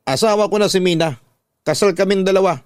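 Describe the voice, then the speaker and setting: Man voicing angry Filipino father, boastful, scolding, higher pitched, dry close sound, radio drama. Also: fast, a little mellow, no room sound, lightly acted. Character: proud, angry, domineering father of a grown daughter.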